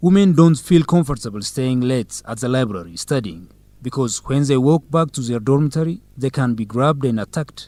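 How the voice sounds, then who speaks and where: A man plays a friendly young university student in a radio drama. He talks quickly with a lively, swooping pitch and a courteous, lightly teasing manner, in a dry, close sound. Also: dry recording, natural voice. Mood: earnest. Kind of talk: scripted educational radio drama dialogue.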